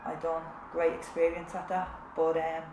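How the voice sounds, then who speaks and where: A woman's voice: low voice, monotone, moderate pace. A woman and mother of three, a peer support worker, chatting casually about her project work. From Ireland. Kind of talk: recorded testimony. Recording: echoey room with clear background noise.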